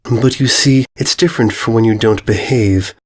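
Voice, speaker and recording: A man voicing a calm, regal female pony ruler, gracious and condescending, with unfeeling menace, in a horror story. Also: on the low side, moderate pace, natural voice. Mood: coolly menacing. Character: serene, regal mare ruler, politely sinister and unfeeling. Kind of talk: dramatized horror fan fiction reading.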